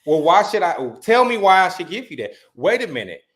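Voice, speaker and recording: A man's voice: sits high, big pitch swings, brisk, a bit bright. A young man from the Midwest, a guest in a casual chat about reality TV, gossiping and acting out the voices of people he quotes.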